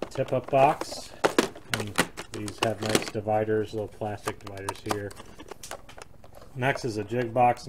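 A man hosting a fishing channel, casually walking through his gear with plenty of fillers while he handles it in a garage. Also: fairly low pitch. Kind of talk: casual show-and-tell of gear. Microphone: camera held close, filming his hands. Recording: noisy home video with crinkling packaging.

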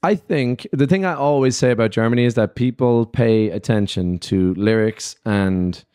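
A man's voice: mid-range voice, moderate pace, clear, resonant tone. A young man, a musician guest, talking casually in an interview into a handheld mic in a festival backstage lounge, loose and rambling with lots of fillers. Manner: relaxed, rambling, many "like" and "you know" fillers.

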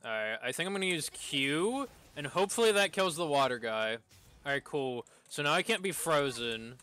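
A man's voice: fairly high pitch, expressive, moderate pace, bright, forceful tone. A man streaming a game with casual live commentary, exclaiming when things go wrong. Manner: reads game lines aloud, exclaims at setbacks.

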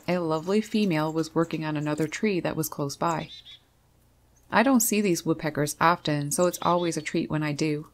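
A woman doing scripted nature narration as a birdwatching vlogger. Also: deep voice.

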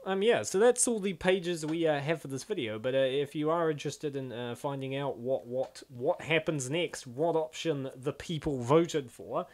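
A man's voice: fairly high pitch, moderate pace, few pauses. A man hosting a comic dub with casual, slangy, exclamatory reaction commentary. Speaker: host of a comic dub channel.

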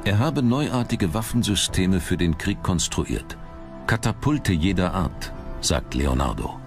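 Man, very low pitch, scripted documentary narration, measured dramatic delivery, prominent background music. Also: animated.

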